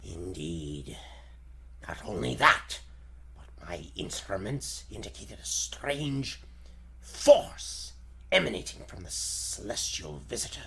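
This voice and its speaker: A man playing a feeble, elderly, excitable scientist with a grandiose, formal delivery in a 1940s radio serial style. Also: lowish voice, slow, vocal fry, full character voice. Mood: grave, secretive. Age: older.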